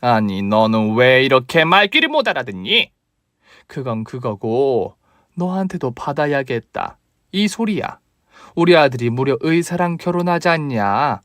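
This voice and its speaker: A bossy, money-hungry older mother-in-law who talks down to her daughter-in-law with open contempt, read out by a man in his everyday register. The voice sits at a middle pitch. The recording is dry and close, with no room sound. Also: big pitch swings, measured pace, fairly bright tone, no room sound. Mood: scornful. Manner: scolding, demanding, contemptuous. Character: harsh greedy older mother-in-law, scornful.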